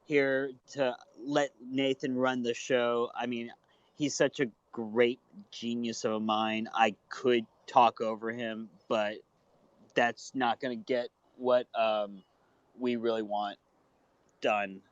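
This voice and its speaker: Flat, soft-spoken man on muffled call. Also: medium pitch, takes it slowly, smooth, dry recording. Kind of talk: casual chat in an online audio room. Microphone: online voice call.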